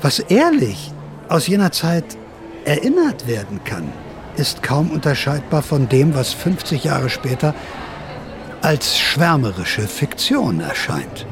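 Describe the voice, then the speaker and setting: A wry sixties counterculture veteran turned amateur sleuth, narrating a radio play. His man's voice sits slightly high, musing and theatrical with a sardonic edge. Music plays clearly behind him. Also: huge pitch swings, slow pace, exaggerated voice. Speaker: narrator and investigator. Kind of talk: first-person radio drama narration.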